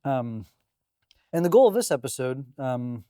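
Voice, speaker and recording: A man's voice: medium pitch, sing-song, measured pace, dark tone, clean tone, dry recording, clean studio sound. A man hosting a church podcast, rambling through his intro with many fillers and easy laughs. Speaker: podcast host.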